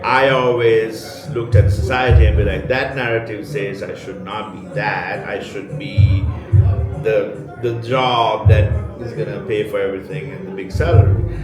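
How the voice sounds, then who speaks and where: A man's voice: fairly low pitch, fairly even intonation, measured pace. A man in his thirties from Sri Lanka, a podcast co-host, talking reflectively with many fillers as an interview guest at a studio meetup. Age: 30s. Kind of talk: casual interview chat. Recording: echoey, dull sound, loud music behind.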